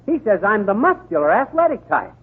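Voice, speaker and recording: A man playing a blustering, boastful husband with a husky voice in an old radio comedy. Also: high voice, brisk, heavily acted. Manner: blustering, animated. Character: blustering, boastful husband, easily carried away. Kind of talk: 1930s radio comedy acting. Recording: tinny 1939 radio broadcast recording.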